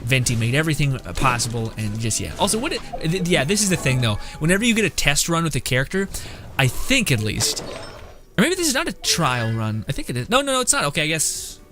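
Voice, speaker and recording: A man's voice: fairly high pitch, big pitch swings, quick pace, over music. A man giving casual, rambling gameplay commentary as a streamer, swearing freely and mocking as he goes. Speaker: video game streamer.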